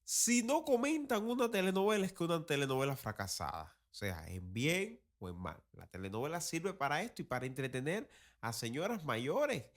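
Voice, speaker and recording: A man giving casual, opinionated commentary in a colloquial, critical manner, as a podcast host. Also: on the high side.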